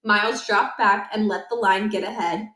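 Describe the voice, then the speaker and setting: A woman narrating a children's book aloud in an expressive, storytelling way. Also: medium pitch, moderate pace. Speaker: storybook reader. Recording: roomy, echoing indoor recording.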